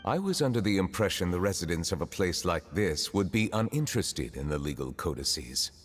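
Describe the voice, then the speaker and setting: Game role: reserved male dignitary, extremely deep, courteous and grave, long reverb, music. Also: animated, fairly quick. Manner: formal, reserved. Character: grave, formal, courteous high male official. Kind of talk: game voice acting.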